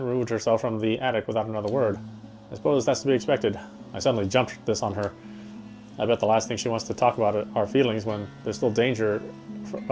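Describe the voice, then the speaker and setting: A man acts out an earnest young man in a visual novel game, speaking quickly at an ordinary male pitch. He is introspective, tender and self-deprecating, and guitar music plays behind his voice. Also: darkish tone, natural voice. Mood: dejected, wry. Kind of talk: first-person visual novel narration and dialogue.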